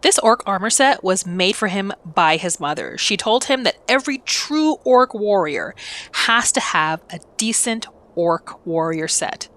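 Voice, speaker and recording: A woman's voice: mid-range voice, lively intonation, measured pace, a bit bright. A woman narrating a character's backstory for a video game roleplay in a scripted storytelling style.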